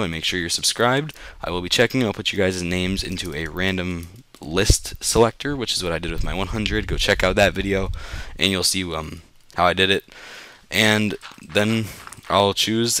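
A young man with a deep, low voice that sounds a bit sick and off today. He rambles casually over his gameplay as a channel host, correcting himself as he goes. The talk is easygoing and unscripted. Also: a bit bright, no room sound.

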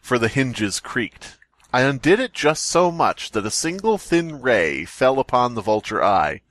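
Animated, theatrical man reading aloud. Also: medium pitch, a little slow, no room sound. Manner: theatrical, jokey, swears casually. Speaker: podcast host reading a story aloud. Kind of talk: dramatic story reading mixed with joking banter.

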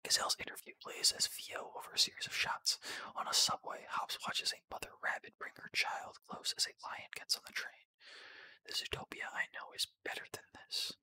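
A person whispering as they read a film screenplay aloud in an ASMR style, narrating and voicing the parts with a breathy voice. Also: a little fast, bright, forceful tone, poor audio.

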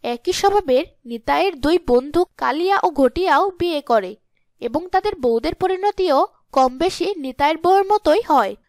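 A woman's voice: sits high, lively intonation, moderate pace, darkish tone, no echo, studio quality. A woman reading scripted storytelling narration for a cartoon.

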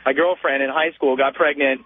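A man's voice: medium pitch, fairly even intonation, brisk. A man in his twenties calling a radio show by telephone, chatting in a casual, familiar, rambling way. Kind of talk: casual, personal phone chat. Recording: thin tinny phone line.

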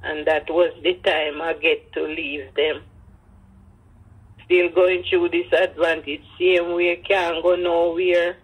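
Husky older Jamaican woman. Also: on the low side, level tone, slow, low-quality recording. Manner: speaks in patois, acts out dialogue. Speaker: grandmother telling her life story. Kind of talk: personal storytelling.

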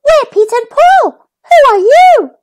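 A woman's voice: extremely high pitch, sing-song, slow pace, darkish tone, pitched above the performer's own voice, exaggerated voice. A woman voicing tiny chirpy dicky birds in a storybook, tweeting away. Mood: chirpy, curious.

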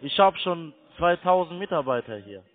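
A fairly high-voiced man, not a native German speaker, works a sales call over a thin phone line. He is insistent and blunt, talking like a call-centre agent. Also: lively intonation, moderate pace. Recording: tinny narrowband phone line.

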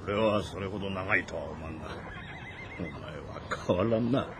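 A man's voice: low-pitched, normal speed, background noise, lightly acted. A man playing a bold, fatalistic cavalry general of ancient China, blunt and commanding, in dramatic game acting. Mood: wry, blunt.

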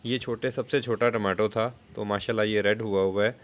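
A man's voice: lowish voice, steady tone, moderate pace. A man giving a casual, explanatory gardening vlog narration in a conversational, repetitive way. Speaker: home gardening video host.

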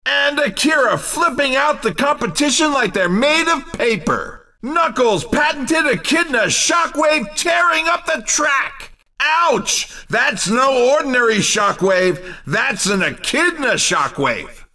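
Excitable high-pitched race game commentator. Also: male voice, slow, distant mic, heavily acted. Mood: excited, playful. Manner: exclamatory, playful showman. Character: excitable, wisecracking male cartoon racing game commentator. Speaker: race commentator in a kart racing video game. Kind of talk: excitable scripted sports commentary.

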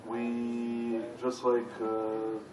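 A man from Serbia, speaking haltingly as a conference panelist. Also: mid-range voice, completely flat delivery, over music. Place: large conference hall with audience. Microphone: stage microphone heard from far off. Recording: echoey hall, distant, audience noise.

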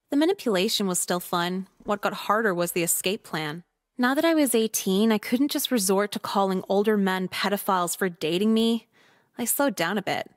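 An actress playing a sardonic, manipulative eighteen-year-old girl in a visual novel game, with deadpan snark. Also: medium pitch, dry recording, clean studio sound, natural voice.

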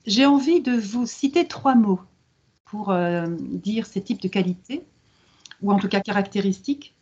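Husky middle-aged woman, measured explainer. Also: medium pitch, darkish tone. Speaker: lawyer, interview guest. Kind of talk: explanatory interview answer on a video conference. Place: book-lined office, video call. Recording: dull video-call audio.

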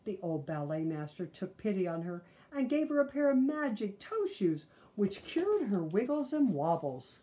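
A woman's voice: medium pitch, sing-song, moderate pace, hardly any pauses, warm tone. A woman in her sixties reading a children's story aloud expressively, with small asides to listeners, in a living room. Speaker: storybook reader. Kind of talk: children's read-aloud narration.

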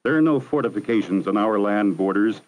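A man's voice: fairly low pitch, darkish tone, poor audio. Formal 1960s educational film narrator, man. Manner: measured, formal, didactic delivery. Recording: 1960s archival film soundtrack.

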